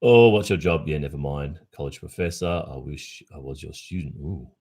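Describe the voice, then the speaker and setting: An Australian man in his early forties with an exceptionally low voice. He chats informally on a livestream, answering listeners' questions. His delivery is thoughtful, with pauses and the occasional hesitation. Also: darkish tone. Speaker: ASMR roleplay streamer.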